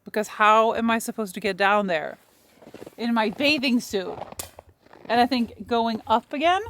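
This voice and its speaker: A woman's voice: on the high side, unhurried, a bit bright, slight background noise. A woman in her thirties whose first language is Swedish, chatting casually in a vlog outdoors in the freezing cold, laughing often. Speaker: vlogger.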